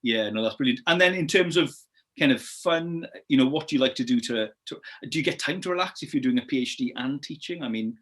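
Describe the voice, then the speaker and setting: A man's voice: mid-range voice, expressive, a little fast. A man with a Welsh accent hosting a casual interview, encouraging and full of praise, with many hesitations. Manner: warm and encouraging, many "um"s, says "brilliant" a lot. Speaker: host interviewer.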